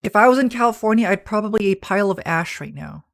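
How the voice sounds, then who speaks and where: A man with a soft, feminine-sounding voice, chatting casually on a livestream and answering viewer messages in a rambling, soft-spoken way. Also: high voice, animated, quick pace, vocal fry. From Canada. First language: English. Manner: soft-spoken, rambling, with restarts and small laughs. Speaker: streamer.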